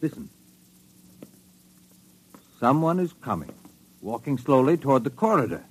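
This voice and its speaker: An actor playing an excitable spaceship doctor, exclamatory and astonished, in an old-time radio drama. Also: male voice, medium pitch, unhurried, breathy edge, rough recording. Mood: alarmed, baffled.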